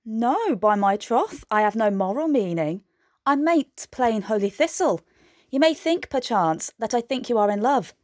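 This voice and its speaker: An actress playing a saucy young maid with a quick, teasing wit in a Shakespeare radio play. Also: female voice, a little high, animated, a little mellow, dry recording, studio quality. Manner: playful, bantering.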